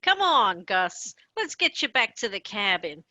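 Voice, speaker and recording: A woman acting a brisk, soothing cruise companion in a comedy radio play. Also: mid-range voice, big pitch swings, sharp tone, dry recording.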